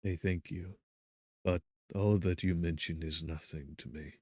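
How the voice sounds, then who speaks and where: Man acting wretched, deep-voiced despairing prisoner. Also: fairly even intonation, a little slow, darkish tone, no echo, studio quality. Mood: bleak despair. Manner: feeble, weary, melancholy. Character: wretched, feverish young man accused of murder, despairing.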